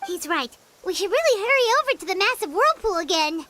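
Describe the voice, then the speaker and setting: A woman voicing a small, childlike fairy companion in a game, squeaky, cheeky and excitable. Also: fairly quick, slight background noise, full character voice. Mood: teasing. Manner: talks about self in third person, exclamatory. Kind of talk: animated game voice acting.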